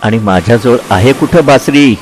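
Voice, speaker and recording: A man plays an affectionate old flame in a literary drama. He talks tenderly and teasingly, at a middle pitch, with faint ambient noise behind him. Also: normal speed, natural voice, rough recording. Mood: gentle teasing. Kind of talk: dramatic literary story reading.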